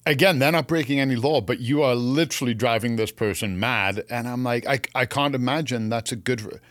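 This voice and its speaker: A man with a Canadian accent chatting casually as co-host of a gardening show, telling anecdotes in an animated way. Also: medium pitch, brisk, no room sound, studio quality.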